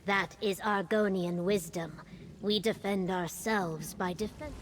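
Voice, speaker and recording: A woman playing a dignified, measured reptilian military leader in a video game, formal and stately. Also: medium pitch, fairly even intonation, a little hiss or hum, natural voice. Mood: grave, grateful. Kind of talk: video game character dialogue.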